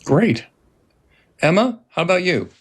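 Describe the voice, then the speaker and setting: Measured man hosting a podcast. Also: high-pitched, very animated, breathy edge. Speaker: host, writer-director. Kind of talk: scripted podcast introduction.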